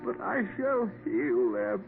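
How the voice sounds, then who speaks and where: A man's voice: medium pitch, wildly swooping pitch, slow, gravelly, exaggerated voice. An actor playing a saintly old parson on his deathbed, speaking fervently and pleading to be believed. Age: older. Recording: tinny old radio broadcast with music.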